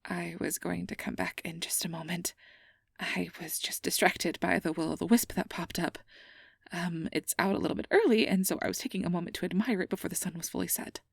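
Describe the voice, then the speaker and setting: A woman playing a nervous, earnest young fantasy researcher in an ASMR roleplay, rambling and self-doubting, with soft breathy delivery. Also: lowish voice, steady tone, brisk, no room sound, clean studio sound. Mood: wistful, soft. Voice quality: breathy, often dropping to a soft whisper. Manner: flustered, stammering, over-explaining, self-doubting.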